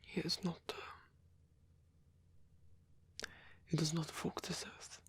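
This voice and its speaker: A man playing a lonely, gentle old vampire, murmuring haltingly and breathily in an intimate ASMR roleplay. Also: fairly high pitch, little pitch movement, natural voice. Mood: tender, melancholy. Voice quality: breathy, whispery.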